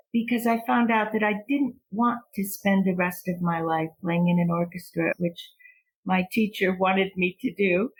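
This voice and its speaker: Low gravelly older woman, measured. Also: rough recording. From Portugal. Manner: measured, thoughtful, with small restarts. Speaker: guest, philosophy professor. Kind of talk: reflective interview storytelling.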